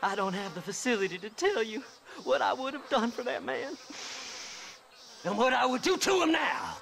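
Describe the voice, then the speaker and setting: A high, gravelly, cackling game-cutscene grave robber, his pitch leaping wildly as he rambles. He is half-insane and unwashed, with a drawl. The tone stays bright and sharp. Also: animated, normal speed, slight background noise, exaggerated voice. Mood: unhinged. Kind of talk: video game cutscene acting.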